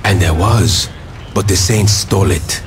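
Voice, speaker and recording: An actor playing a menacing, mystical gang lieutenant with a measured, formal, sinister manner in a video game. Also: male voice, low-pitched, heavy background noise. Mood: sinister, persuasive. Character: menacing, mystical male voodoo gang lieutenant, coldly polite. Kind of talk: video game cutscene acting.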